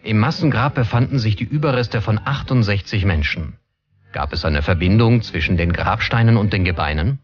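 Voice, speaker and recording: A man's voice: fairly low pitch, expressive, normal speed, dull audio. A man reading scripted documentary narration as the narrator. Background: prominent background music.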